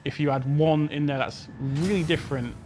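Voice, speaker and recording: A man's voice: a little high, steady tone, fairly quick, darkish tone, a little hiss or hum. A man answering questions as a game developer on a panel, explaining informally in a loose, hedging way. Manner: hedging, explanatory, peppered with "you know" and "kind of".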